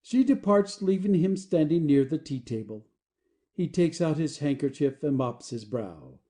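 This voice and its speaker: A woman's voice: deep voice, expressive, a little slow, dark tone. A woman giving scripted narration, reading out the stage directions of an audio play.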